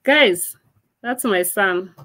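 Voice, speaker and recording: A woman's voice: mid-range voice, expressive, very slow, a bit bright. A woman in her forties hosting a casual livestream from a room at home, chatty and laughing often as she reads and answers viewers' comments on a laptop mic. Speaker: livestream host.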